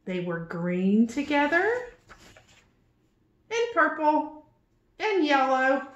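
A middle-aged woman with a high, very animated voice reads a storybook to small children. She phrases slowly and expressively, like a preschool teacher. The sound is roomy, as in a small office. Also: muffled recording. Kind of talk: read-aloud picture book storytelling for young children.

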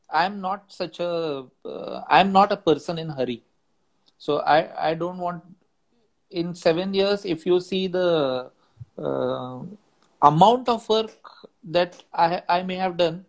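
A man's voice: on the high side, low-quality recording. Indian man, thoughtful and hesitant. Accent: Indian English. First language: Marathi. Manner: thoughtful, hesitant with many uh fillers and restarts. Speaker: musician and storyteller guest. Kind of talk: reflective conversational interview. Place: home study with bookshelves, indoors. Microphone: wired earphone mic on video call.

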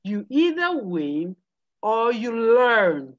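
Motivational woman with a lively voice. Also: a little high, very slow. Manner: motivational, emphatic. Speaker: attendee. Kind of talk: motivational remarks. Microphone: video call. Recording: dull video call audio.

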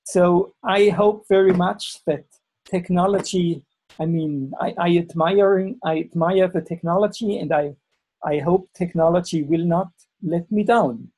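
A man with a German accent giving a webinar talk over a video call, halting and full of fillers and restarts. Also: high voice, a little mellow, husky, rough recording. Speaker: webinar speaker, university lecturer. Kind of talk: hesitant presentation on a video call.

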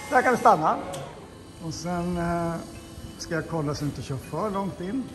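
A cheerful man with a high, bright-sounding voice chats and coaches people through tasks in a big car workshop. The sound carries a long hall reverb, with music playing clearly behind him. He keeps things upbeat and encouraging. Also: lively intonation. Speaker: vlog host, garage owner. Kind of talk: casual workshop vlog chat. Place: large car workshop, indoors.

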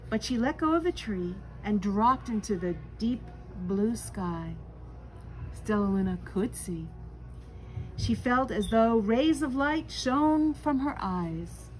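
A woman's voice: mid-range voice, low-quality recording. A woman in her sixties reading a children's picture book aloud expressively, recorded indoors a little way from the mic. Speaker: storytime reader. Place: small bookstore, indoors. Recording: faint background noise.

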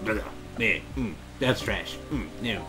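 A middle-aged man streams a game in a casual, expressive way. His pitch moves a great deal as he comments and reads text aloud. Music and rain sit behind him. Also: unhurried. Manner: expressive, exclaiming. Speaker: streamer playing a visual novel. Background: game music and rain sounds.

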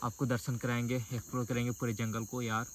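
A man's voice: mid-range voice, little pitch movement, fast talker, a little hiss or hum. Young man chatting casually at a forest camp. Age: young adult. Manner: chatty, addresses viewers as guys, jokes with friends. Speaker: camping vlogger. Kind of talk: casual vlog chat. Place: outdoors in a forest campsite and inside a tent.

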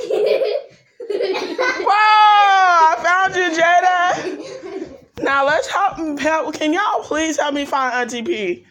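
A woman's voice: very high-pitched, very slow, long pauses, fairly bright tone. A young woman, playfully filming a hide-and-seek game on a handheld phone as she walks through an empty house. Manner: playful, teasing, sing-song. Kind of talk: casual handheld vlog. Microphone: phone held in hand while walking.

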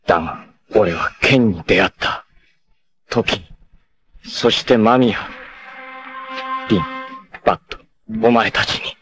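An actor playing a stern, loyal young martial artist in a 1980s anime, with a smooth, clipped voice that bursts into ferocious battle cries. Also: male voice, fairly low pitch, slow pace, pauses often, a bit bright. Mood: grave. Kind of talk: 1980s anime dub-free Japanese voice acting.

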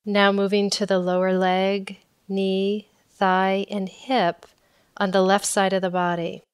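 A woman leading a guided body scan meditation in a calm, measured, instructional way. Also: mid-range voice, clear, resonant tone.

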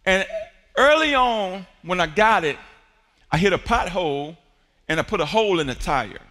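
A high-pitched, bright-toned man preaches from a church stage, swinging from quiet stretches to shouted peaks and calls for the congregation to answer. His voice rings through a large hall with a long reverb tail. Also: animated, moderate pace. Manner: preaching with rising shouts and call-and-response. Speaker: pastor. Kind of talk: sermon.

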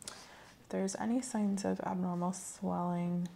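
A soft-spoken woman giving gentle, clinical instructions in an ASMR medical exam roleplay. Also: medium pitch, steady tone, measured pace, gravelly, slight background noise. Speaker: host playing a medical examiner. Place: small indoor room set up as exam office.

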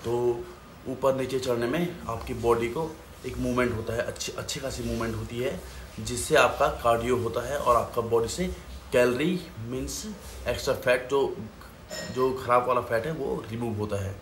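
A man's voice: medium pitch, steady tone, normal speed, a bit bright, a little hiss or hum. A man in his thirties talking casually to his phone camera in a fitness vlog, explaining his routine step by step and slipping English phrases into his talk. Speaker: fitness vlogger. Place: small room at home, then a gym. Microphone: phone camera at arm's length. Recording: echoey room with music behind.

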